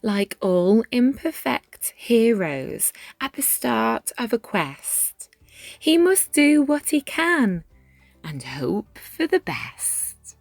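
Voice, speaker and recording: A woman narrates a rhyming picture book with great expression. Her delivery is extremely unhurried and full of melodic rises and falls, with dramatic pauses. The recording is close and dry. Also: on the high side, very animated, creaky, dry recording. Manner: measured, dramatic pauses, drops into hushed whispers. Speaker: children's storybook reader. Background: background music.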